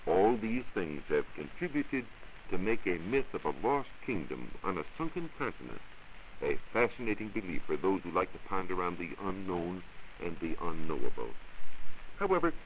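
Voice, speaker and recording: An American man giving a formal, measured, oratorical scripted science talk as a 1940s radio reporter. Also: sits low, big pitch swings. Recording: muffled, hissy 1940s radio recording.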